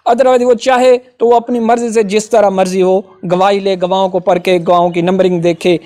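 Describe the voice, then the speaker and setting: A man's voice: high voice, little pitch movement, normal speed, darkish tone. A man with a Pakistani accent giving an informal explanatory law lecture, often adding little tags to check that listeners follow.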